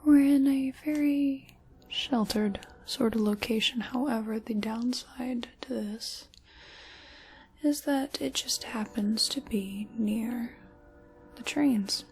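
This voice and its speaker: A woman acts out a sinister dark witch for an ASMR roleplay, whispering softly and very slowly. Her voice sits fairly high, with silky menace and drawn-out, theatrical phrasing. It is recorded close, with faint noise behind it. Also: creaky. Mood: coolly menacing. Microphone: close ASMR microphone.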